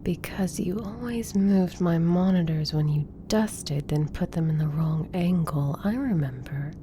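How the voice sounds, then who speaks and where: Woman acting exacting female scientist, slow, slightly low, precise, formal, audio drama, noisy recording. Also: lightly acted. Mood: irritated, stern. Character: brisk, exacting female scientist, easily irritated.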